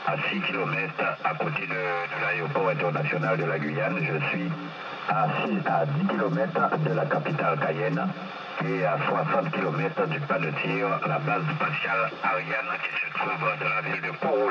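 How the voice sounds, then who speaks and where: Very loud static surrounds a man speaking over a dull shortwave radio link. His voice is low and moves up and down in a lively way. He chats easily as a radio operator, repeating phrases for emphasis. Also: normal speed. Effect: shortwave radio transmission. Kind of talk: casual radio contact chat. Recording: muffled radio signal, loud static noise.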